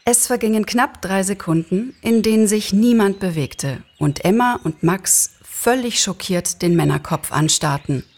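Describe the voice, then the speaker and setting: A woman narrating a story in an expressive, scripted reading. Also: mid-range voice, measured pace, few pauses, slight background noise. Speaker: narrator, actress.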